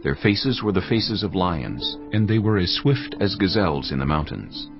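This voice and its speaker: A man narrating scripture from a script in a measured, solemn manner. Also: deep voice, expressive, lo-fi sound. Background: background music.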